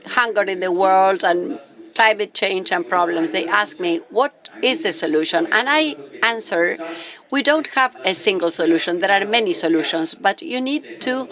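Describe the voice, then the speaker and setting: A man's voice: sits high, moderate pace, sharp tone. A man, a university professor on a conference panel, speaking carefully as a non-native speaker with a few restarts. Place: conference hall.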